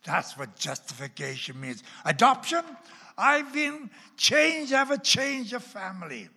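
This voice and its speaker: Welsh-accented preacher with theatrical swings. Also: male voice, very high voice, sing-song, a little slow, creaky, breathy edge. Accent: Welsh with Texan and Oklahoma traces. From Wales. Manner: dramatic, wide swings from loud emphasis to hushed asides. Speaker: pastor teaching a class. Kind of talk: sermon-style Bible teaching. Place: church. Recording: long hall reverb.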